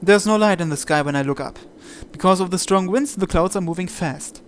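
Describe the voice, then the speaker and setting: A man's voice: high voice, a little fast, slight background noise. A man reading a visual novel aloud as first-person narration for a playthrough. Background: game music.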